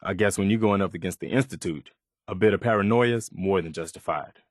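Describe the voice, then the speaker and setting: A principled young soldier man, a loyal video game companion. His voice sits fairly low and sounds dry and close. He talks in a sincere, plainspoken, slightly weary way, like everyday conversation. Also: a little fast, no echo. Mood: reflective, principled.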